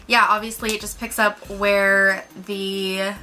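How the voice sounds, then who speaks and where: Female review co-host, very bright voice, casual and chatty delivery. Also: mid-range voice, little pitch movement, takes it slowly, faint music behind.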